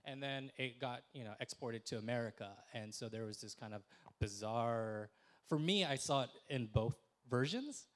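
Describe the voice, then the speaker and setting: Man, young adult, panelist, reflective, ums and restarts, mid-pitched voice. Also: moderate pace. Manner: thoughtful, many fillers and restarts. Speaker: panelist, film festival director. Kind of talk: panel discussion answers.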